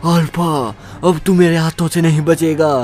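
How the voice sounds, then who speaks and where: A man's voice: a little high, little pitch movement, measured pace, darkish tone, faint music behind, lightly acted. A man playing an eighteen-year-old son, a naive but determined hero, speaking emphatically in a melodramatic story. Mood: vengeful. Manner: dramatic, emphatic.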